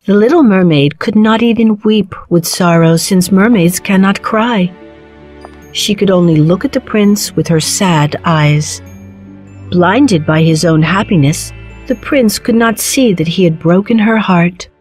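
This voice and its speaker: A woman narrating a children's fairy tale in a measured, clearly phrased storytelling manner. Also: medium pitch, darkish tone. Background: background music.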